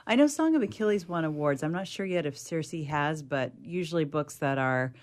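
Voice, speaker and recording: Low-voiced woman chatting about books. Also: lively intonation, fairly quick. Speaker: actor and discussion panelist. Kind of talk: casual book chat.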